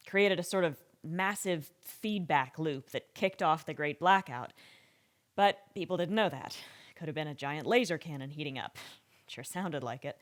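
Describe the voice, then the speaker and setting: A woman playing a wry, candid government scientist giving conversational interview testimony with deliberate pauses in an audio drama. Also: lowish voice, lightly acted. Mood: sombre, recollecting.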